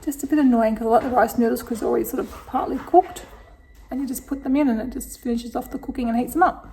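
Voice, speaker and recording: A middle-aged Australian woman with a slightly croaky voice, chatting casually through her day in a home vlog and thinking aloud with soft laughs. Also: fairly high pitch, quick pace, slight background noise, low-quality recording. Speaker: vlogger. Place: home kitchen.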